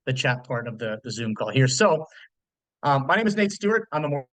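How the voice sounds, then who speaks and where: A man's voice: mid-range voice, very animated, fairly quick, fairly bright tone, dry recording, low-quality recording. A man in his thirties, a mortgage loan officer, hosting a live webinar in a loose, chatty way with lots of fillers and restarts. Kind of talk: casual live webinar presentation.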